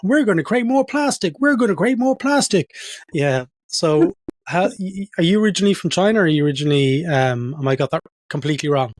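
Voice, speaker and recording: A man's voice: on the high side, brisk, no echo, studio quality. A man hosting a design podcast, reading a prepared introduction and then chatting casually and jokingly with his guest. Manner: jokey, playful asides. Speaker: podcast host. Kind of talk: scripted intro then casual interview chat.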